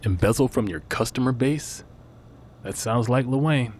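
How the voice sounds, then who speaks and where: An actor playing a brash, cheeky, excitable sidekick in an audio drama, with slangy, expressive delivery. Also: male voice, medium pitch, moderate pace, slight background noise, lightly acted. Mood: skeptical. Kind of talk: naturalistic audio drama acting.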